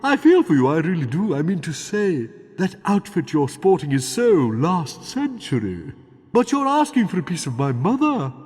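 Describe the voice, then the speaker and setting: An actor playing a pompous, snobbish aesthete dragon in a video game, with a haughty, theatrical, affected drawl. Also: male voice, fairly high pitch, very animated, moderate pace, a little mellow, breathy edge. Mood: mocking, affronted. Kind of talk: video game cutscene acting. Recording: long cavernous reverb.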